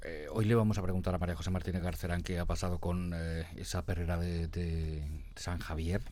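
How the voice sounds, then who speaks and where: A man hosting a casual radio chat, halting and hesitant as he asks his guest questions. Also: deep voice, level tone, a little mellow, dry recording. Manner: hesitant, many fillers and restarts. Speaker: radio host.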